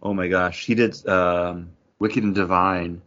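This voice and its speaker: A man's voice: low voice, steady tone, measured pace, fairly bright tone. A man hosting a podcast, chatting casually and enthusiastically about a hobby. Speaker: podcast host. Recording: dull lo-fi audio.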